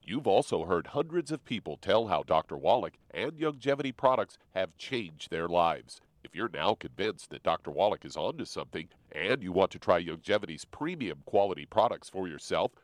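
A man reading scripted radio announcements and ad copy in a smooth, emphatic announcer manner. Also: sits low, very animated, normal speed, few pauses, dry recording. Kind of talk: scripted ad read.

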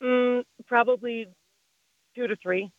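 A woman calling in to a radio show, hoarse and hesitant, with many pauses. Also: fairly high pitch, fairly even intonation, no room sound, narrow, tinny audio. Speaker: caller.

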